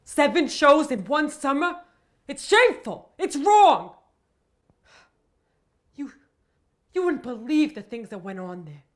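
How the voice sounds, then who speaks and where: Woman acting an overwrought, high-voiced haunted theater survivor. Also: sing-song, slow pace, long pauses, full character voice. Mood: anguished. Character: melodramatic woman traumatised by amateur summer theatre. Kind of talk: mock-confessional comedy monologue.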